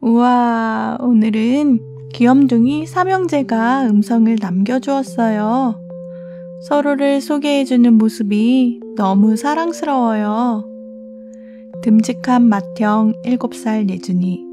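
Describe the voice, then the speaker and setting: Soft-spoken lady pastor doing bedtime talk for kids, real slow, a bit high, guitar music playing under her. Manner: soft, soothing, encouraging. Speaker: children's ministry pastor. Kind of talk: gentle bedtime narration for children.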